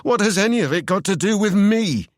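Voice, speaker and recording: A man voicing a panicked young man who shouts desperate questions in a shrill voice. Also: expressive, fairly quick, no room sound, clean studio sound. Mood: frantic. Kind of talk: audiobook character voice.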